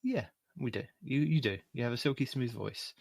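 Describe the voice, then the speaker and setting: A man co-hosting a gaming podcast, reading announcements in a plain, steady way. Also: mid-range voice, fairly quick, gravelly, a crowd in the background. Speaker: podcast co-host.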